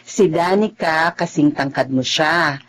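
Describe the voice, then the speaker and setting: An actress in a Filipino radio drama playing a gentle, motherly woman who talks in a soothing, expressive way. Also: sits low, unhurried. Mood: warm, welcoming.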